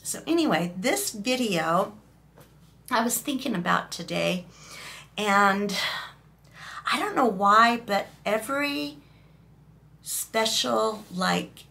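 A woman in her fifties, hoarse from a recent cough, chatting emotionally to camera in a small room, rambling with fillers. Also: medium pitch, expressive, takes it slowly, pauses often, sharp tone. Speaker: vlogger. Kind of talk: casual talking-head vlog.

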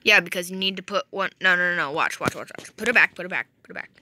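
A woman's voice: lowish voice, fast, bright, forceful tone, a little hiss or hum. A young woman hosting a casual gaming chat at home, rambling and joking, recorded on a phone. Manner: jokey, rambling, self-correcting with "just kidding". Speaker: channel host. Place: home bedroom.

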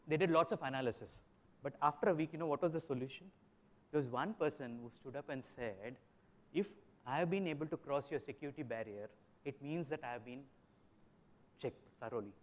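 Fast-talking man on a conference panel. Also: medium pitch. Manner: fast, emphatic, storytelling with examples. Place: large hotel conference hall.

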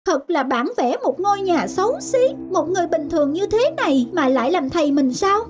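A woman's voice: very high voice, lively intonation, a little fast. A woman voicing a proud, impatient young sparrow chick in a cartoon, childlike and self-important. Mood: scornful. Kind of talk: cartoon voice acting. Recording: music behind the voice.

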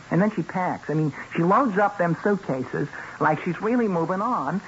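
High-pitched, shifty streetwise guy. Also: animated, moderate pace. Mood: chatty, animated. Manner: colloquial, hesitant, slangy. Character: shifty, evasive male lowlife, streetwise, grudgingly talkative. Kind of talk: 1970s radio drama acting. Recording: muffled, noisy old radio broadcast.

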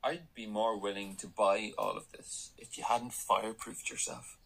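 An actor with an Irish accent in a radio drama, playing a wry, uneasy, sceptical company employee who also narrates. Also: male voice, on the low side, fairly even intonation, moderate pace, vocal fry, fairly bright tone, poor audio. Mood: challenging.

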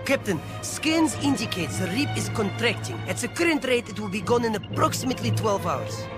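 A man's voice: sits high, expressive, a little fast. A man playing an earnest, eager young starship navigator in a sci-fi game. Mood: earnest, concerned. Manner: earnest, formal. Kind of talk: scripted sci-fi game acting. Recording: very loud music under the voice.

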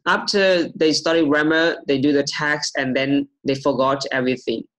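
A young man teaching English on a video call, repeating questions slowly to coax answers from his student. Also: a little high, fairly even intonation, fairly bright tone, low-quality recording. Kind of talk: online English lesson over video call.

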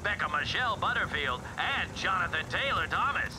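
An actor playing an excitable rock radio announcer in an animated comedy, hyped and punchy in promo style. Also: male voice, high voice, moderate pace, background noise.

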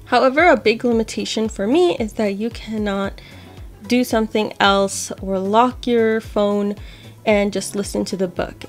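A woman's voice: medium pitch, darkish tone. A young adult woman hosting a tech tutorial, explaining steps casually. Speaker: host of accessibility tutorial videos. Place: small home office, indoors.

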